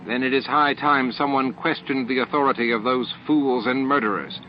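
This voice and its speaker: A man's voice: mid-range voice, fairly even intonation, moderate pace, slight background noise, voice pushed up, close to an everyday voice. A man voicing a fierce, snappish elderly female alien scientist in an audiobook drama. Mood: defiant. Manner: snappish, forceful, righteous. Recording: dull lo-fi audio with music.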